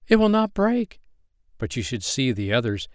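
A man's voice: medium pitch, sing-song, moderate pace, no echo, studio quality, natural voice. A man voicing a fussy, weary mother duck in a storybook reading, fretful and motherly.

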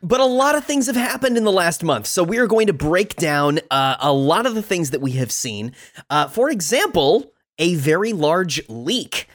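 A man co-hosting a fan podcast in casual chat, animated and chatty, with lots of fillers. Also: high voice, normal speed. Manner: animated, many fillers, laughs easily. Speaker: podcast co-host.

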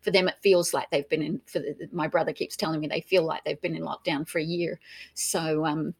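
Gravelly Australian woman explaining at length. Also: low voice, steady tone, brisk, no room sound. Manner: explanatory, frequent restarts. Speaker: author and engagement expert, guest. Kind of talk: podcast interview answer.

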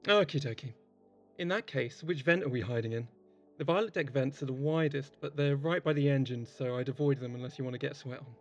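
An actor plays a cheeky colleague aboard a space clinic. The delivery is breezy, joking and teasing, in audio drama style, with a mid-pitched voice over faint music. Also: brisk, dull audio, close to an everyday voice. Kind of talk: sci-fi audio drama acting.

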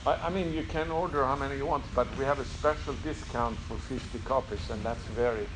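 A man with a mid-range voice talks casually with the audience, stumbling over his words as he explains. A clear layer of background noise sits behind him. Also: mid-range voice, fairly quick.

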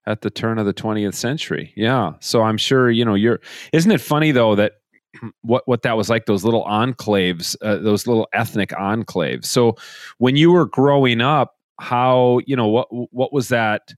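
A male podcast host with family roots in southwestern Minnesota asks his guest questions with eager curiosity. His voice has a middle pitch and sounds dry and close to the mic. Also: no echo. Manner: curious, eager, quick to react. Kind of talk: conversational interviewing.

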